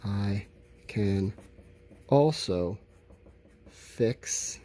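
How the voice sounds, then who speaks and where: Slow-talking, mellow male teacher. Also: on the low side, animated. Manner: simple, encouraging explanations addressed to children, often word by word. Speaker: elementary school teacher. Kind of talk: informal lesson, thinking aloud while writing answers.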